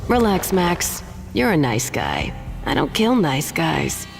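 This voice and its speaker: A woman's voice: low voice, huge pitch swings, takes it slowly, creaky, long reverb tail, faint music behind, heavily acted. A cool, teasing female assassin. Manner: teasing, self-assured. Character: cool, deadly female contract killer.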